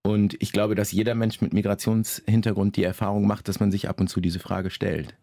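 A man being interviewed about his novel, answering in a measured, reflective way with frequent pauses and restarts. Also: on the low side, flat delivery, vocal fry, dark tone, no echo, studio quality. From Germany, Lower Saxony.

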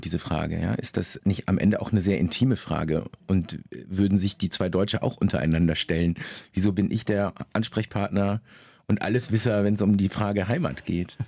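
A man being interviewed about his novel, answering in a measured, reflective way with frequent pauses and restarts. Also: on the low side, gravelly, warm tone, dry recording. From Germany, Lower Saxony.

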